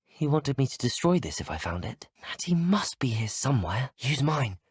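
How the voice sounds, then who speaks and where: A man voicing a polite, earnest British student wizard in a video game, speaking in an articulate, measured way. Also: mid-range voice, very animated, husky, dry recording, clean studio sound. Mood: quietly observant. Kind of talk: video game character dialogue.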